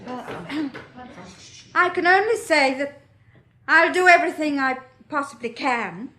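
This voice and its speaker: A woman's voice: high-pitched, lively intonation, slow, sharp tone, heavily acted. An actress playing an earnest, practical nurse, speaking carefully and a little hesitantly as she gives instructions in a radio drama. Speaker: nurse addressing a meeting.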